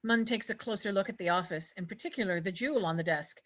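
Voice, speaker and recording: A woman's voice: medium pitch, fast talker. A woman reading scripted narration and stage directions for a fantasy table read.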